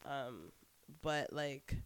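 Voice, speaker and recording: A woman's voice: a bass voice, expressive, very slow, clear, resonant tone, dry recording. Woman with a stuffy cold voice. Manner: candid, rambling with many restarts. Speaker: podcast co-host. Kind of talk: casual chat. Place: at the co-host's home.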